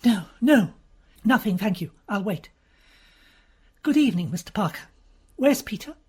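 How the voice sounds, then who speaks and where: A woman voicing a distraught young noblewoman with a breathless, pleading, high voice. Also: lively intonation, normal speed, slightly rough. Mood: frantic.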